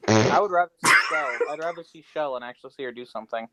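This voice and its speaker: A man's voice: a little high, fast, background noise. A man co-hosting a podcast with casual, opinionated banter. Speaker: podcast co-host.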